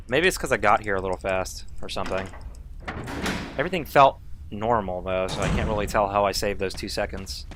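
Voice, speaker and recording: A man's voice: lowish voice, noisy background. Chatty man streaming a speedrun. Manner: casual, talkative, swears when frustrated. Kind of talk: live gameplay commentary, chatting to viewers.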